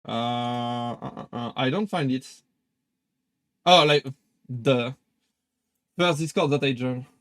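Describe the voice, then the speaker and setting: A man's voice: medium pitch, slow pace, a bit bright, no echo, clean studio sound. A young man with a French accent chatting casually on a live stream, hesitant with many fillers and restarts. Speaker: VTuber streamer.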